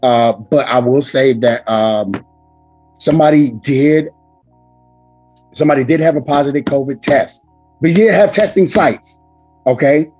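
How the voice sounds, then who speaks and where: A man hosting a casual podcast chat with a hoarse, raspy voice from screaming, talking loudly and emphatically with lots of swearing. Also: mid-range voice, a little slow, pauses often, soft background music, poor audio. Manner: loud, profane, emphatic. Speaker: podcast host.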